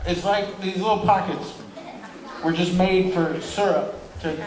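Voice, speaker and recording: A man's voice: high-pitched, fairly even intonation. A man playing a smug, greedy food reviewer in a comedy sketch, savouring every word with relish. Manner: savouring, drawn-out murmurs of relish. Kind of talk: live radio-style comedy sketch. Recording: echoey hall, distant mic, loud crowd.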